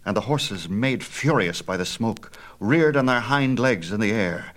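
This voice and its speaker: A man narrating a myth as an audiobook storyteller, with a theatrical, measured and emphatic delivery. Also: lowish voice, lively intonation.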